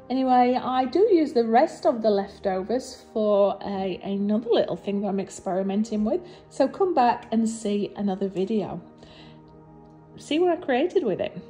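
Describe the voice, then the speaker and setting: A woman with a slightly husky voice, low on energy after surgery, chatting through a voice-over for her resin craft video with fillers and restarts. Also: mellow. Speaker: resin artist and channel host.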